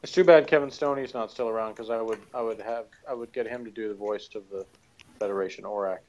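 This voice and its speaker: A man's voice: lowish voice, quick pace, darkish tone, rough recording. A middle-aged man co-hosting a casual chat from his home office, curious and full of questions, laughing easily. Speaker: podcast co-host.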